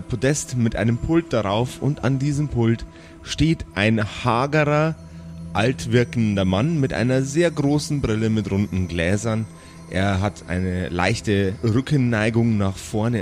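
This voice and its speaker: A man narrating an improvised tabletop game as game master, descriptive and unhurried, feeling for his words. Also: on the low side, darkish tone.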